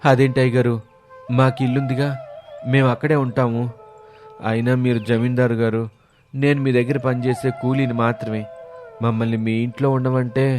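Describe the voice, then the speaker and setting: A man voicing a humble servant in an animated moral story, grumbling in puzzlement yet respectful to his master. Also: medium pitch, normal speed, pauses often, soft background music, natural voice. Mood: surprised, respectful.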